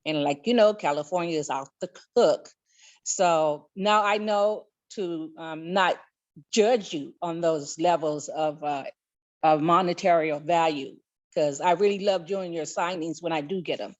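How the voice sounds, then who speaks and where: A woman's voice: low-pitched, measured pace. A woman speaking casually as a participant asking questions in an online group call. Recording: dull online call audio.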